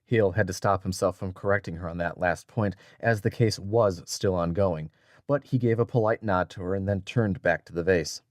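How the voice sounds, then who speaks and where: A man's voice: sits low, normal speed, dry recording, clean studio sound. A man narrating a dramatic audiobook from a script as a storyteller.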